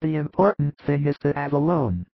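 A man voicing a warm-hearted older fisherman with a hoarse, gravelly voice and a comforting, fatherly manner. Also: on the high side, lively intonation, normal speed, no echo. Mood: resolute. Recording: dull lo-fi dry recording.

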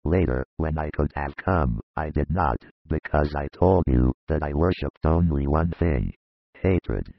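A man's voice: expressive, unhurried, dry recording, muffled recording, heavily acted. A man voicing a grieving, remorseful male disciple who speaks in a heavy, brooding confession, read as an audiobook. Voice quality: very deep gravelly husky voice. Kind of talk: audiobook reading of a religious narrative.

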